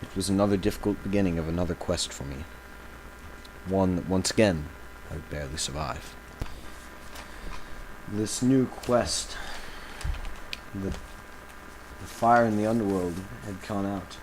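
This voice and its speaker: Man acting a solemn low-voiced embattled villain. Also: a little mellow, slight background noise, poor audio. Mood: weary, reflective. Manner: halting, solemn, with dramatic pauses. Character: embattled male villain recording a grave confessional audio log. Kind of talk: dramatic in-character monologue, audio log.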